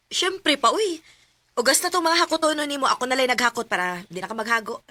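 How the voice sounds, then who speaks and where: An earnest young Cebuano daughter. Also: female voice, high voice, fairly quick, bright, forceful tone, no echo. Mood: teasing. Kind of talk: radio drama acting.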